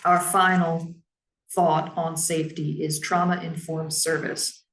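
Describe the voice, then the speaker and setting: A woman who heads a public library, giving a measured, explanatory presentation on staff training in her naturally lower voice. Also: little pitch movement, reverberant, low-quality recording. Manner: measured and explanatory, with frequent "um" and "now".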